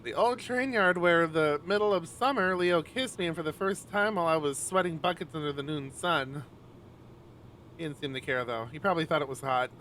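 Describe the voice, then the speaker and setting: Expressive bright-voiced man streaming. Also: a little high, a little fast, a little hiss or hum. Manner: expressive, frequent swearing. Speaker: streamer, narrator reading a visual novel. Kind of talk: casual livestream chat and read-aloud.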